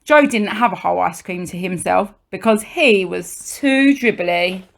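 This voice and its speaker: A woman reading a children's storybook aloud like a primary teacher, expressively, with deliberate pauses and emphasis for young listeners. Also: medium pitch, expressive, gravelly.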